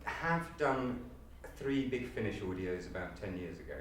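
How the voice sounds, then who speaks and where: Guy auditioning, sharp bright voice, swoopy delivery, echoey and far from mic. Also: on the low side, expressive, normal speed, a little hiss or hum. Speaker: auditioning actor. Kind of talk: audition chat and theatrical recitation. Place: university room, audition being filmed. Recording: very echoey room, distant mic.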